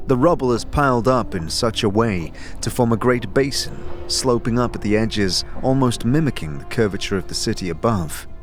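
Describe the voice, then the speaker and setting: A man narrating an audio drama in a measured, descriptive, scripted storytelling style. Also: medium pitch. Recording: clear music behind the voice.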